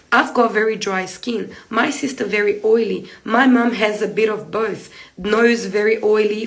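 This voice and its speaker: Hoarse, gravelly woman teaching live. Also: mid-range voice. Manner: chatty, repeats points, gestures while talking. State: hoarse from a cold. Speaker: hair treatment educator. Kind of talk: live-streamed tutorial talk. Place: small room indoors, seated at a desk. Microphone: phone camera close in front.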